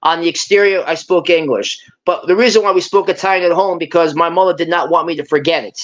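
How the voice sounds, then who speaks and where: A man's voice: a little high, quick pace. Chatty man with dull lo-fi sound. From Brooklyn, New York. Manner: chatty, repeats himself, drops Italian words. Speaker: guest. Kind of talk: casual live-stream chat.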